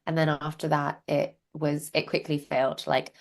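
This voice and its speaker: A woman's voice: low-pitched, little pitch movement, normal speed, creaky. A young woman from Hong Kong, a founder being interviewed, telling her story in a chatty way with many filler words. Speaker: guest, social enterprise founder.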